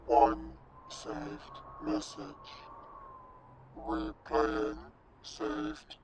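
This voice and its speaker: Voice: sits high, sing-song, extremely slow, background noise, exaggerated voice. A synthetic computer voice playing a robotic assistant that gives clipped, procedural system announcements in an audio drama. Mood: procedural, impassive. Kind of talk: flat automated system announcements.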